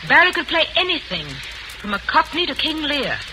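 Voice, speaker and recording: A woman's voice: high voice, big pitch swings, moderate pace. A woman playing a haunted, middle-aged retired actress, intense and theatrical, in an old radio drama. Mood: dread. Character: haunted, fearful retired great actress, wife. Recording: noisy old radio recording.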